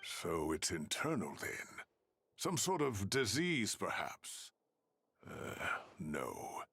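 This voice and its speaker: A man's voice: deep voice, huge pitch swings, slow, creaky, a bit bright, breathy edge, no room sound, heavily acted. A man voicing an earnest, articulate scholar in a dramatic video game scene. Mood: pondering. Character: earnest adult male scholar who studies the ley lines.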